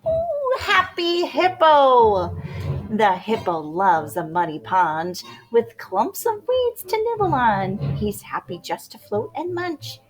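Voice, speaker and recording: A woman reading a rhyming children's picture book aloud in a playful, expressive way, drawing out words for dramatic emphasis. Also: a little high, slow, low-quality recording. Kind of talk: animated rhyming storybook read-aloud for young children. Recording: music behind the voice. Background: music.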